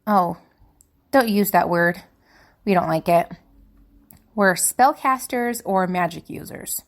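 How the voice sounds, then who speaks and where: A woman's voice: fairly low pitch, takes it slowly. A woman playing a young spellcaster student in an audio drama, confiding and hesitant, anxious and wistful. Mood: anxious, musing. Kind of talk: dramatic monologue in fiction podcast.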